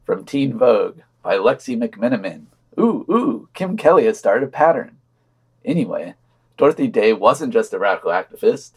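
A man's voice: mid-range voice, sing-song, normal speed, slightly rough. A man reading a scripted podcast news digest with wry commentary and dry, sardonic asides.

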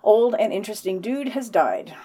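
A woman's voice: mid-range voice, sing-song, normal speed, warm tone. A woman co-hosting a podcast, reading scripted news items and quotes with wry commentary. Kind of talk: scripted narration with read quotes and brief commentary.

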